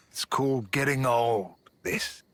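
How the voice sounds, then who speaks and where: An actor playing a weary, gruff old man in a video game, wry and raspy. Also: medium pitch, fairly bright tone. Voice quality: gravelly, raspy. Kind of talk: video game cutscene acting.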